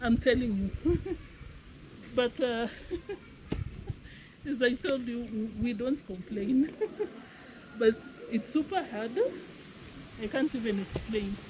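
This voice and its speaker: A woman's voice: fairly high pitch, slow, long pauses, background noise. A woman chatting as a village vlogger, explaining her farm work as she goes and laughing often. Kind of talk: casual walk-and-talk vlog. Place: outdoor farm field in the rain.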